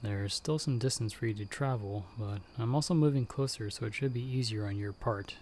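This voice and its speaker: A man playing a calm, protective monster hunter in an ASMR audio roleplay, giving hushed, measured, reassuring instructions. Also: mid-range voice, slight background noise, lightly acted. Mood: steady, guiding. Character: calm, protective male monster hunter guiding someone by telepathy.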